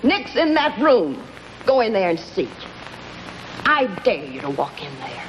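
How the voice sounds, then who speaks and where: An actress playing a bitter, manipulative gangster's moll with a melodramatic, hard-boiled delivery in an old crime drama. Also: female voice, mid-range voice, sing-song, a little slow. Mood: scornful, taunting. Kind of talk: mid-century film noir crime drama acting. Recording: muffled, hissy old broadcast recording.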